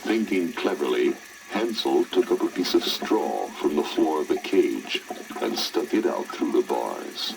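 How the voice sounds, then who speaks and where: A man narrating a children's story in a dramatic, expressive, theatrical style. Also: a bass voice, measured pace, gravelly, background noise. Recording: old story record, orchestral music behind.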